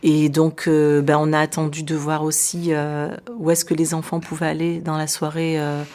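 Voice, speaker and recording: Woman, middle-aged, low pitched, even and flat delivery, hesitant, local official in a radio interview. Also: normal speed. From France. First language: Arabic. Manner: hesitant, many fillers and restarts. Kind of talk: radio interview, recounting events.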